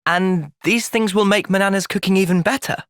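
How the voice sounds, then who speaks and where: A man's voice: sits high, steady tone, fairly quick, fairly bright tone, dry recording, clean studio sound. A young man with a British accent playing an earnest, thoughtful, polite and determined young hero in video game cutscenes.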